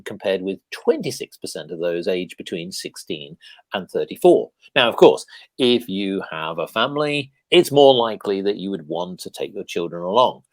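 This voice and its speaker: Animated male talk show host. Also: lowish voice, dry recording. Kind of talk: conversational radio-style commentary.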